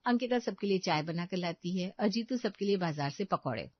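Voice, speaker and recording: A woman's voice: mid-range voice, expressive, brisk, no room sound, lo-fi sound. A woman giving a scripted narration of a story.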